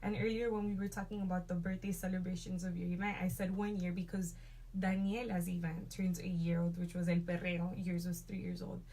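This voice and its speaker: A woman's voice: lowish voice, little pitch movement, a little fast, dark tone. A woman hosting a talk show, speaking upbeat and conversational, with frequent ums and likes. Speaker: host.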